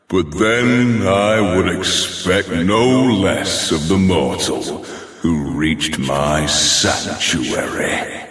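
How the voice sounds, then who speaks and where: A cavernous echo surrounds a proud, ancient immortal from a video game. The voice is very deep and moves extremely slowly. Every phrase is formal and haughty, with menacing amusement toward lesser mortals. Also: male voice, fairly bright tone, full character voice. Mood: condescending. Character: proud, ancient superhuman being who tests mortal challengers. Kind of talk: scripted video game character acting. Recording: cavernous echo with long reverb.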